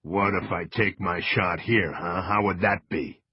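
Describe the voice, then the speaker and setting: A man's voice: sits low, fairly even intonation, unhurried, husky, lo-fi sound. A man playing a menacing federal agent in an audiobook, taunting and threatening in a hard-edged way.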